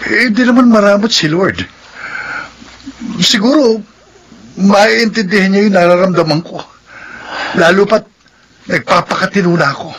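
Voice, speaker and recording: A man's voice: high-pitched, normal speed, pauses often, fairly bright tone, slightly rough, exaggerated voice, low-quality recording. A man playing an earnest, anguished suitor in a drama. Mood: pleading, desperate. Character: lovesick, impatient male suitor, earnest and anguished. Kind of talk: radio drama dialogue.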